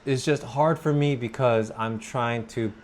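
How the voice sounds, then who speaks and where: Guy, thirties, talks real slow and thoughtful, mid pitch, bit of aircon hum. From Korea. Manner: slow, thoughtful, with pauses and fillers. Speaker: English pronunciation teacher. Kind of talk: personal vlog talk.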